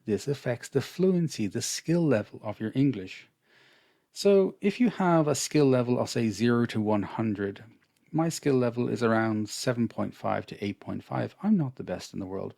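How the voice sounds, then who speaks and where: A man with an Irish accent, an English teacher explaining a lesson over slides in a measured, patient manner. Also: medium pitch, gravelly, mellow, no room sound, clean studio sound. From Ireland.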